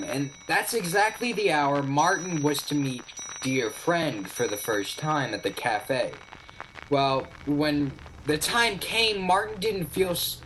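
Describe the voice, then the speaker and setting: A man playing a shop owner who narrates a radio play in a measured storytelling manner. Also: fairly high pitch, a bit bright, a little hiss or hum, low-quality recording.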